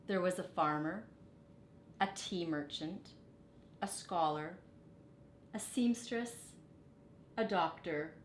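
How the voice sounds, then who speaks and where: Slow-reading woman in an echoey room. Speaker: teacher reading a picture book aloud. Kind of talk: storybook read-aloud with teaching asides. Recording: roomy echo, faint rumbling background noise.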